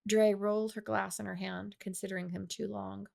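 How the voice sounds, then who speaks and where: A woman reading her own fiction aloud as an expressive storyteller. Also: medium pitch, moderate pace, vocal fry. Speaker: author reading own audiobook. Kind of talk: expressive scripted narration of fiction.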